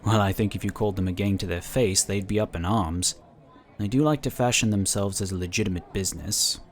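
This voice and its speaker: A man playing a wry, cautious and protective inquisitor in a sci-fi audio drama, with measured, articulate delivery. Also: on the low side, natural voice. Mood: wry, informative.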